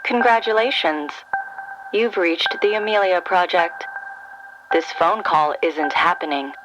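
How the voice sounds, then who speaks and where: A woman playing the calm, formal answering-machine voice of a secretive agency in an audio drama. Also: fairly low pitch, very noisy, natural voice. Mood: cool, ominous. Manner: measured, ominously formal. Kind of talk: scripted phone message. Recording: music behind phone message.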